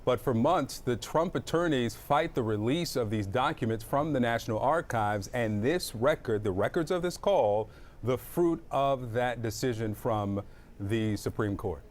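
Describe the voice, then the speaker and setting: A man's voice: mid-range voice, a little slow. A man anchoring live television news, putting points to a reporter.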